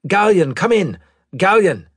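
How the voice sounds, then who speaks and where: A man voicing a brash, excitable male ace pilot in an audiobook, with cheeky, urgent military talk. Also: fairly high pitch, moderate pace, no echo, studio quality, voice pushed up. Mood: urgent, pleading. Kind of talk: audiobook character acting.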